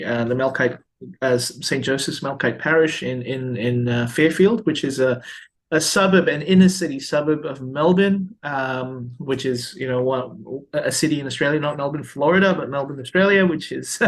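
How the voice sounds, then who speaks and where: A man in his thirties from Malaysia, a priest speaking as an interview guest, halting often with fillers and restarts. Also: a little high, fairly bright tone. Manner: hesitant, many fillers and restarts.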